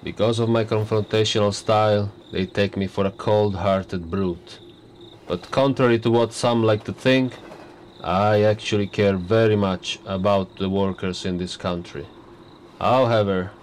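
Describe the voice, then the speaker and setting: An actor playing a pompous, smug prime minister in a radio play, lecturing with boastful self-importance. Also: male voice, fairly low pitch, darkish tone, slight background noise, close to an everyday voice, rough recording. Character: pompous arrogant male prime minister, middle-aged. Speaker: politician.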